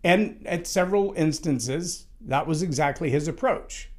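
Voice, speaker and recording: A man with a loud, somewhat high-pitched voice holds forth on leadership in a solo talk. He speaks emphatically and conversationally, doubling back on words as he thinks. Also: a little slow. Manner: conversational, emphatic, with frequent restarts.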